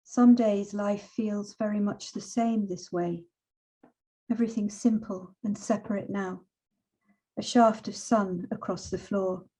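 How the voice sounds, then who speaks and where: A woman in her sixties reading and introducing her own poetry in a measured, expressive way. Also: medium pitch, poor audio. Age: 60s. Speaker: poet.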